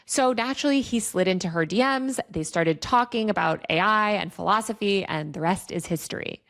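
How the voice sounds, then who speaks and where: A woman's voice: medium pitch, normal speed, creaky, a bit bright. Woman delivering conversational, wry online commentary. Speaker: video essayist, online culture commentator. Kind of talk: scripted explainer commentary with casual asides.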